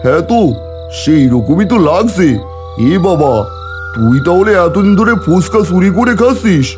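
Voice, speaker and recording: A fretful village vendor, voiced by a man, high-pitched and very lively in tone. He complains and exclaims in cartoon fashion, with clear music behind. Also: normal speed. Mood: indignant. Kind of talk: cartoon voice acting.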